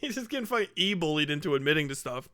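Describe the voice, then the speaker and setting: A man's voice: fairly high pitch, big pitch swings, very fast, sharp tone, no echo. A man casually ranting as a livestream host, blunt, scornful and swearing heavily. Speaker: livestream host reacting to a video. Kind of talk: casual livestream reaction rant, heavy swearing.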